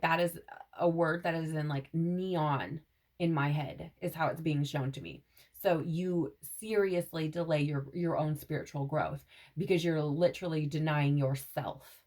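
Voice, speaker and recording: A woman with a fairly low voice talks through a tarot reading in a loose, meandering way, often tagging "right?" and "like" onto her thoughts. Her throat sounds a little scratchy. She speaks as if passing on intuitive messages. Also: a little mellow. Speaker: tarot reader. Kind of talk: casual spiritual tarot reading to camera.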